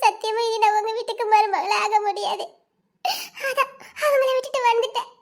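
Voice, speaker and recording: A distraught teenage village girl in a cartoon melodrama. The voice is extremely high, almost childlike, and plaintive. She speaks emotionally, with a southern Tamil Nadu accent. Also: steady tone, brisk, fairly bright tone. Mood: tearful. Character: distraught seventeen-year-old South Indian village girl, dramatic.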